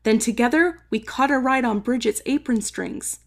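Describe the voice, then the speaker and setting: A woman reading a children's story aloud expressively, with dramatic emphasis and some drawn-out words. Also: fairly high pitch, gravelly. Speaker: storybook reader. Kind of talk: expressive children's read-aloud, narrating in first person.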